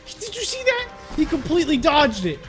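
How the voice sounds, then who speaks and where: A man's voice: extremely high pitch, wildly swooping pitch, normal speed. Playful young man commentating over music. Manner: playful, exclamatory, mock-serious. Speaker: gaming video host. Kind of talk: casual joking gameplay commentary. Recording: music behind the voice.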